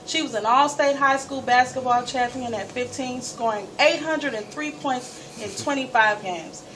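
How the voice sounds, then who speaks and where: A woman storyteller reading a first-person story aloud with dramatic, emphatic delivery and deliberate pauses. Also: high voice, fairly bright tone. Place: live event space, recorded from a distance. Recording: distant mic, noisy room ambience.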